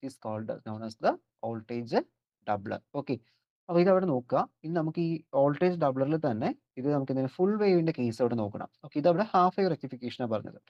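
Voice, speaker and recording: A Kerala lecturer with a Malayali accent and a medium-pitched voice works through a technical lesson at a rapid pace. The delivery is built on questions that get answered straight away, sprinkled with English terms. The sound is dull and lo-fi. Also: animated. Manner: keeps posing questions and answering them. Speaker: electronics lecturer. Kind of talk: explanatory lecture worked step by step. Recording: dull, lo-fi audio.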